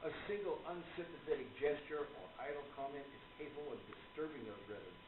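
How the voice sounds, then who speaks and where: Muffled, echoey actor as earnest, courtly gentleman author. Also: a little high, expressive, a little fast, natural voice. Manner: formal, gentlemanly, expounding. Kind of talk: radio play acting. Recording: muffled phone-like sound, loud noise, echoey room.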